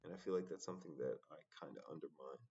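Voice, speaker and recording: A man talking in a casual, reflective solo vlog, halting and often pausing mid-sentence. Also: extremely low pitch, mellow, breathy edge. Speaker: rapper vlogging his progress. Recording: dull, muffled lo-fi sound.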